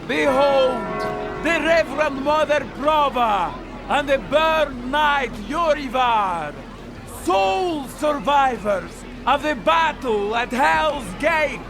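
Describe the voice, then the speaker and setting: A man playing a herald in a fantasy game, making a loud, ceremonial proclamation. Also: extremely high pitch, expressive, slow pace, heavily acted. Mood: proclaiming. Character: proclaiming male herald addressing a crowd. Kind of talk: cinematic fantasy game acting. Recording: music and crowd noise behind.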